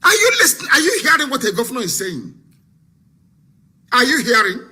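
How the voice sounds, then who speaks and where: Bright, exclaiming Nigerian man. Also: extremely high pitch, big pitch swings, a little fast, slightly rough. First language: Igbo. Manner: exclaiming, repeating rhetorical questions, switching into Igbo. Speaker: commentator. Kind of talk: impassioned political commentary.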